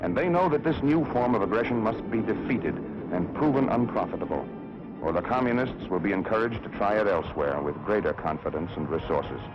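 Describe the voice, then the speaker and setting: A deep-voiced American man delivers solemn propaganda film narration from the 1960s. His declamatory reading rises and falls with strong emphasis. The sound is a dull old film soundtrack with music clearly behind him. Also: expressive, a little fast. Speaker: documentary narrator.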